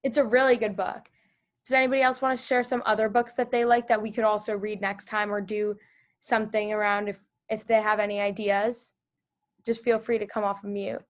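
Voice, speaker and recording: A woman hosting a read-aloud for children over a video call, reading the story and asking them gentle questions. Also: medium pitch, little pitch movement, fairly quick. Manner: gentle, encouraging, with small restarts.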